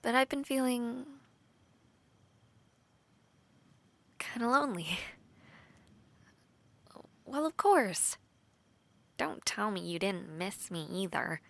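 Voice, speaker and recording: An earnest young knight in training, played by a woman, speaking close and soft, often slipping into a half-whisper between light laughs. Her tone is bright and clear on top, with a gravelly fry under it. The sound is dry and near, with no room around it. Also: mid-range voice, slow, pauses often, dry recording. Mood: tender. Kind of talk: intimate audio roleplay.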